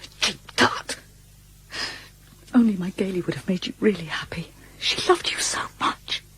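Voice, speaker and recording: An actress playing a bitter, haughty middle-aged matron with a breathy voice in a radio drama. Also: female voice, medium pitch, normal speed, fairly bright tone, slight background noise, close to an everyday voice, rough recording. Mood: bitter, grieving. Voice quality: breathy, husky. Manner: frosty, disdainful.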